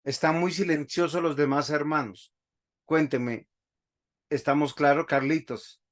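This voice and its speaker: A man, a pastor teaching a Bible class over a video call, speaking slowly and stopping often to ask the class questions. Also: fairly high pitch, fairly even intonation. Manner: slow, halting, asks the class questions often.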